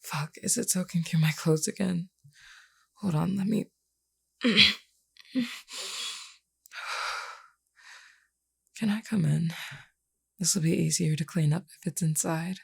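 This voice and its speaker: A woman's voice: lowish voice, fairly even intonation, moderate pace, gravelly, long pauses, warm tone, husky, exaggerated voice. A woman voicing a flirty, wounded female werewolf, teasing and weary, sighing through pain in an intimate audio roleplay. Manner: teasing, sighing, calls listener hun.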